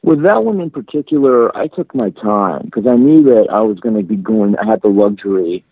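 A man from Iran talks through his career in a relaxed, halting way, often restarting his thoughts. His voice sits low and comes through thin and tinny, like a phone call. The sound is dry, with no room around it. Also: slightly rough, no room sound. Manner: reflective, halting, many restarts and fillers. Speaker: photographer guest. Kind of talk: casual interview conversation.

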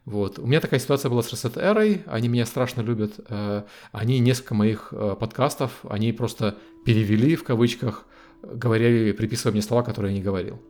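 A man's voice: mid-range voice, quick pace, darkish tone. A man chatting casually as a guest, hesitant with many fillers. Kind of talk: casual conversation. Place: living in the United States.

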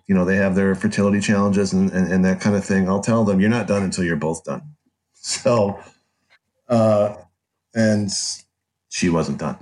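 A man's voice: fairly low pitch, darkish tone. A middle-aged American man telling a personal story conversationally as an interview guest, candid and wry. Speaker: podcast guest, author.

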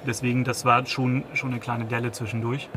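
A man's voice: medium pitch, level tone, a little fast, background noise. A man in his thirties, a company founder, giving a business interview, hesitant, with many restarts and fillers. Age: 30s.

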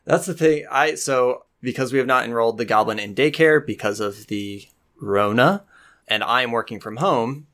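A man's voice: medium pitch, normal speed, a bit bright. A young man hosting a podcast, chatting casually and enthusiastically, rambling with lots of fillers. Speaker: podcast host and game master. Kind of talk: casual chat.

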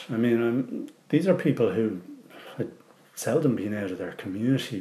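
A man's voice: mid-range voice, warm tone. Reflective Dublin man in an echoey room. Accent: Dublin Irish. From Ireland. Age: 40s. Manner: reflective, loose, with many ums and restarts. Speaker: interviewee. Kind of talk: recorded personal testimony.

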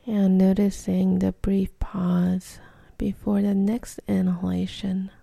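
A woman guides a meditation very slowly, in soft, soothing phrases. Her voice is deeply mellow and breathy, nearly whispered at times, with a creaky fry beneath it. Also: little pitch movement, dry recording. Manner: soft, soothing, unhurried delivery with short phrases.

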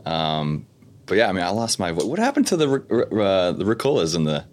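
A man guest on a casual backyard podcast, speaking low-key, often trailing off and restarting. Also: sing-song, a little fast, slight background noise.

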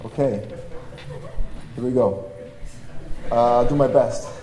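Jokey priest preaching in an echoey room. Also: male voice, medium pitch, fairly even intonation, takes it slowly. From Toronto, Canada, born in Poland. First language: Polish. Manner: conversational, self-deprecating, jokey, slangy. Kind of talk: informal personal testimony preaching to young adults. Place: large room at a live gathering, through PA. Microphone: stage microphone. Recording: echoey live hall, faint noise.

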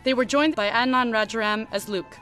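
A woman announcer delivers scripted credits in a fairly high voice. Music plays clearly behind her. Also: fairly quick, a bit bright. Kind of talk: scripted narration.

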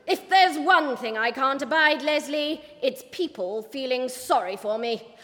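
A woman's voice: high voice, expressive, measured pace, a bit bright, in a large hall. A woman voicing a formidable, impatient female newspaper boss, brusque and emphatic, in an audiobook. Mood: stern. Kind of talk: audiobook character voice.

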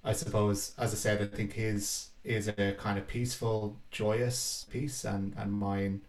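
A man's voice: lowish voice, level tone, measured pace. A man who is a composer talking reflectively about his own work in a hesitant, thoughtful way. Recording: roomy echo, faint music behind.

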